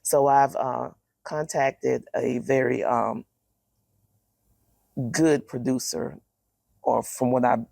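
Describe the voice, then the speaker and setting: A middle-aged woman with a deep, raspy voice, telling personal stories candidly in a casual interview as a guest. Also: fairly even intonation, slow pace, pauses often, warm tone, no echo.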